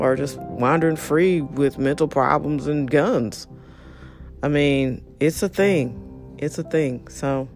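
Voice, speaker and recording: A hoarse voice, worn down and close to giving out, rants in a blunt and exasperated way. The talk is an unscripted monologue addressed straight to the listener. Soft music runs quietly underneath. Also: mid-range voice. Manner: frustrated, blunt, ranting. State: hoarse, losing voice. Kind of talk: casual spoken monologue.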